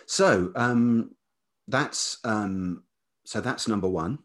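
A man's voice: fairly low pitch, extremely slow, a bit bright. A middle-aged British man talking reflectively as an interview guest, hesitant and searching for his words. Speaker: guest, writer and teacher.

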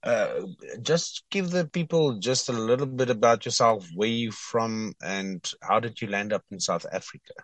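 A man's voice: lowish voice, moderate pace, few pauses, a bit bright, no room sound. A man hosting a road trip interview show, asking questions in loose, slightly broken phrasing. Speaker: show host.

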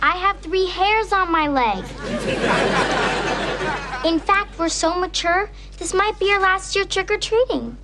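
Sitcom daughter: very high, lively voice, sassy and scheming, over noisy studio audience. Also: female voice, measured pace, pauses often, a faint crowd, exaggerated voice. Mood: mock-innocent. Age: child. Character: scheming, sassy young twin girls pleading with dad.

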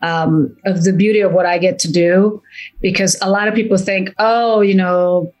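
A woman's voice: mid-range voice, low-quality recording. Enthusiastic woman in her fifties. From Italy. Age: 50s. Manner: enthusiastic, quick, repeats words when excited. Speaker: guest wealth advisor. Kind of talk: casual interview chat.

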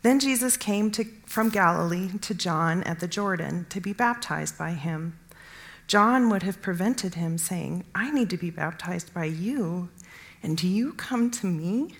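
A woman's voice: on the low side, expressive, a little slow. A woman reading a Bible narrative aloud from a script. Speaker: scripture reader.

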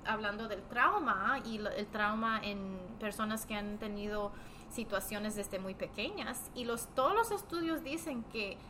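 A woman's voice: medium pitch, unhurried, background noise. A woman chatting casually and explaining things as a host, speaking Spanish that is not fully fluent, as a second everyday language. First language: not fully fluent in Spanish, more at home in another language. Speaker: podcast host and therapist. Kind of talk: casual conversational discussion.

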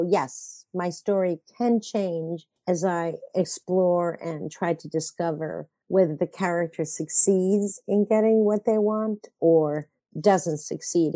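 An older woman speaks in a low, mellow voice that is dry and close to the mic. She is a playwright in a relaxed interview, musing and rambling, often stopping to correct herself with a wry humor. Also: no room sound. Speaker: playwright guest. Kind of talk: conversational interview.

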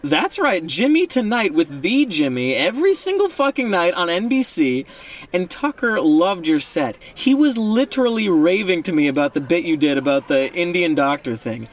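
A man playing an excited, foul-mouthed comedy manager in an audio drama, effusive and emphatic like a salesman. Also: high-pitched, moderate pace, recorded from a distance. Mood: excited, boasting. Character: hyped, fast-talking, foul-mouthed male comedy manager buddy.

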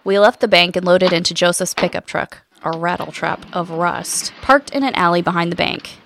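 An actress playing an eager, curious radio host who investigates mysteries, in audio drama dialogue and first-person narration. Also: female voice, on the low side, moderate pace, a bit bright, a little hiss or hum. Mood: measured storytelling.

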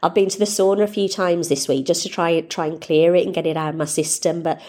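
A middle-aged woman with a somewhat low voice and a stuffed-up, cold-clogged sound. She hosts her podcast in a relaxed, upbeat ramble, full of ums and asides. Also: fast talker. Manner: chatty, upbeat, rambling with fillers. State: congested with a cold. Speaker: podcast host. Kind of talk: casual solo podcast chat.

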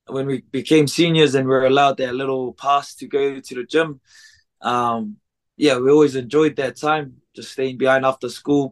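A man in his twenties with a New Zealand accent, a podcast guest talking casually and haltingly with many ums, recorded in a music studio. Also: mid-range voice. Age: 20s. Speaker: guest, gym co-founder. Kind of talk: casual interview chat.

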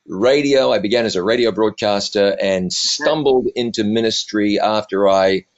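A middle-aged man with a New Zealand accent softened by years in the US, telling his story in an animated, joking way. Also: on the low side, normal speed, dull audio. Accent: New Zealand, softened by decades in the US. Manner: animated, self-deprecating, jokey. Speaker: evangelist and TV presenter. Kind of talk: storytelling interview answers.

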